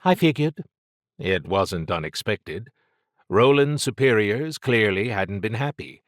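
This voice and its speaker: A man reading scripted fiction as an audiobook narrator, in a measured, suspenseful storytelling manner. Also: lowish voice, lively intonation, no room sound, studio quality.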